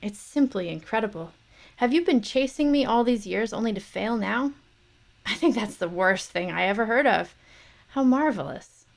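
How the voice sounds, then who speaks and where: Woman reading cruel noble count, sneering, theatrical, mocking, slightly raised pitch. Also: expressive, normal speed, gravelly.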